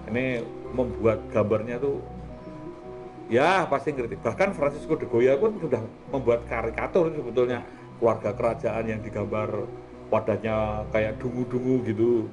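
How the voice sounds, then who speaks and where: A man's voice: mid-range voice, moderate pace, music in the background. A man with a Javanese accent hosting a casual, rambling art talk, chatty and colloquial, often tagging his remarks with small questions. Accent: Javanese-accented Indonesian. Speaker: host of an art talk show.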